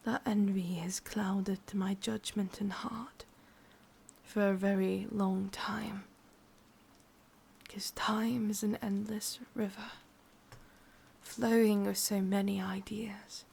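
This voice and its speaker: A woman with an English accent voicing an ancient, lonely, lovesick witch in a breathy, husky whisper for an ASMR roleplay. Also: medium pitch, little pitch movement, darkish tone, full character voice. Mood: melancholy, yearning. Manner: slow, brooding, intimate delivery.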